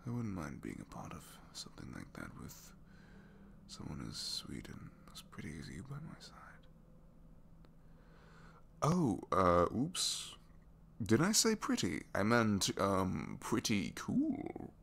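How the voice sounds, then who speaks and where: A captured supervillain played by a man confides in a low voice that swings expressively up and down. He is bashful, self-deprecating and smitten, halting and dropping into whispers as in an intimate ASMR roleplay. A faint hum sits behind him. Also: wildly swooping pitch, gravelly, fairly bright tone, heavily acted. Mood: shy, wistful. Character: captured young male supervillain, reluctantly evil, bashful and lovestruck. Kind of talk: ASMR romantic audio roleplay.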